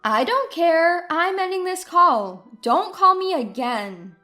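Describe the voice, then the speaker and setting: A woman's voice: very high-pitched, lively intonation, slow, sharp tone, faint music behind, pitched above the performer's own voice, full character voice. A woman playing a scornful, newly independent ex-wife in a story, mocking and cutting. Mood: cold, dismissive. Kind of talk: dramatized storytelling dialogue.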